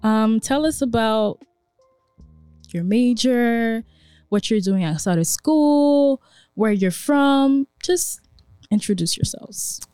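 A woman's voice: on the high side, slow, dark tone, smooth, no room sound, soft background music. A young woman in her twenties hosting a casual podcast, talking in an earnest, reflective way with many fillers. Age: 20s. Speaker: podcast host.

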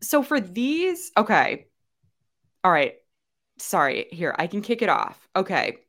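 A woman's voice: medium pitch, big pitch swings, a little slow, a bit bright. A young woman co-hosting a casual podcast chat, talking loosely with many fillers and restarts. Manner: chatty, lots of fillers and restarts. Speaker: podcast co-host.

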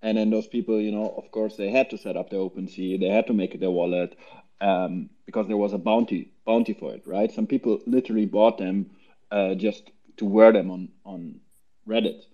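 Low-voiced man, halting, on dull audio. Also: steady tone. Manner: halting, repeats words. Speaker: panel guest. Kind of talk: casual explanatory talk.

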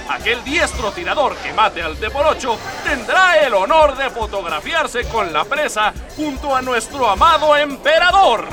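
An actor playing an excitable, pompous imperial herald giving live hunt commentary in a radio drama, with theatrical announcer delivery. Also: male voice, very high voice, full character voice. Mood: excited, proclaiming. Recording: music behind the voice.

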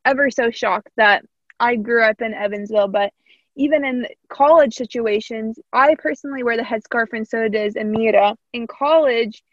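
A woman's voice: a little high, steady tone, normal speed, no echo, lo-fi sound. A young woman from Indiana with a slight Southern American accent, hosting a casual interview in a thoughtful, rambling way. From Indiana, USA.

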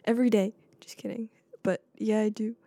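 Soft-voiced giggly woman podcast host. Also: mid-range voice, level tone, a little fast, no room sound, studio quality. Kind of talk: casual chat.

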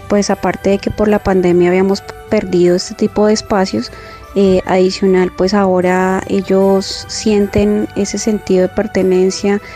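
A woman from the band talks steadily in an interview and often pauses on fillers. Her voice is mellow and keeps an even pitch, with soft music playing faintly behind her. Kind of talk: interview answer.

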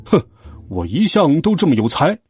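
A man's voice: on the high side, very animated, moderate pace, a little mellow, slightly rough, no echo, soft background music. A man voicing a boastful, short-tempered schoolboy bully boss in a children's story, blustering and threatening. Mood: smug. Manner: blustering, threatening, scornful laugh.

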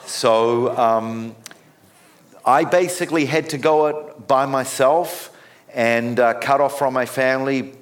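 A man's voice: mid-range voice, steady tone. A man in his fifties with a New Zealand accent, giving a sermon as a guest speaker and often stopping on fillers and restarts. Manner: halting, with many fillers and restarts.

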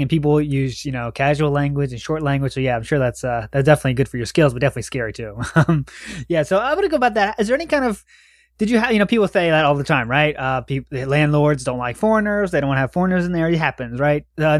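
A male podcast host talks quickly and in a chatty way, asking his guest questions. His voice sits fairly high, he often ends his points with "right?", and the sound is dry. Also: dry recording. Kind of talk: casual interview chat.

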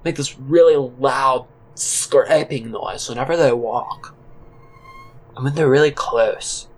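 A man playing a disgruntled former fast-food worker, rambling with halting, deadpan earnestness in an audio drama monologue. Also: mid-range voice. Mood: deadpan, musing. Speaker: narrator and character in a solo audio drama.

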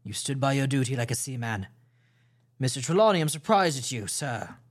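A stern, disciplined ship's captain, voiced by a male reader gives orders in a clipped, measured way. He speaks a touch lower and slower than the narration, unbending and formal in a storybook reading. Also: animated, natural voice. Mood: commanding. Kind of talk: audiobook character voice.

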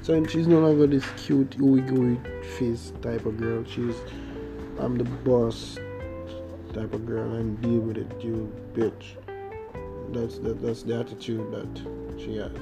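A young man with a Jamaican accent talks slowly through a casual podcast monologue, his voice gravelly and full of fry. He rambles and restarts as he goes, and guitar music plays clearly behind him. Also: medium pitch, little pitch movement. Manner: rambling, hesitant, many fillers and restarts. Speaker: podcast host.

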